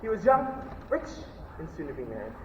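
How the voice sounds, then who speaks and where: A young man playing a blustering upper-class gentleman in a melodramatic murder mystery farce, declaiming his lines. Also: a little high, wildly swooping pitch, fairly quick. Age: teen or young adult. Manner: declamatory, exclaiming. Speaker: student actor. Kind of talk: melodramatic stage farce acting. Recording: echoey noisy hall, distant muffled mic.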